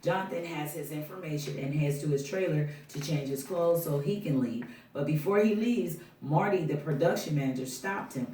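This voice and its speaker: A woman's voice: low-pitched, normal speed, few pauses, warm tone, a little hiss or hum. Woman reading stage directions, roomy sound. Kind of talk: scripted narration at a film table read. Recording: roomy, distant mic.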